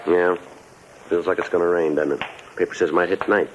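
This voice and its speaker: Male actor as terse American detective, low-pitched and deadpan; muffled vintage radio sound with clear hiss. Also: fast talker, close to an everyday voice. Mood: matter-of-fact, dry. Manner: deadpan, clipped, laconic. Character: terse, unflappable police detective sergeant, dry and patient. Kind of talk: 1950s radio drama acting and clipped narration. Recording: muffled, noisy old radio recording.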